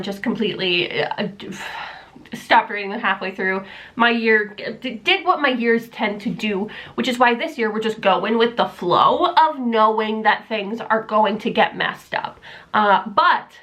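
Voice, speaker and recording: A woman's voice: medium pitch, unhurried, pushed and bright. A young woman vlogger chatting casually from a room at home, rambling with lots of fillers, sounding stuffy and nasal from allergies. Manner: rambling, many fillers, self-deprecating. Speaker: book vlogger. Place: room at home, not yet set up for filming. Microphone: camera mic, camera propped on books.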